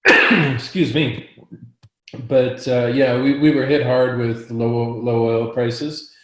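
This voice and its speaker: Hoarse man clearing his throat, roomy echo. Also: medium pitch, flat delivery, fairly bright tone. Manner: frequent throat clearing, halting restarts. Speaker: real estate investor agent. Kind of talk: market update in a live panel.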